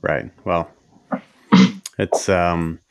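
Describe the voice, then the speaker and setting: A man with a New England accent hosts a casual podcast conversation. His voice sits very low, with a faint nasal whine. He rambles and restarts often in a dry, close recording. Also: fairly even intonation, very slow, smooth, dry recording, faint music behind. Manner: rambling, hesitant, many restarts. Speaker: podcast host. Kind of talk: casual interview chat.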